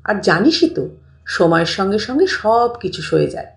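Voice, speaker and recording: A woman's voice: medium pitch, big pitch swings, moderate pace, creaky. Woman acting doting elderly grandmother, roomy sound. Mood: reassuring. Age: older. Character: affectionate elderly grandmother, frank, reassuring, doting on her grandson. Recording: roomy, distant mic, music bed.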